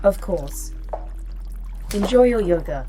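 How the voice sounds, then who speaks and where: A woman playing a calm, formal artificial intelligence in a sci-fi audio drama, speaking with precision. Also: medium pitch, takes it slowly, slight background noise. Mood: polite. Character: calm, formal, attentive female A.I. of a secret facility.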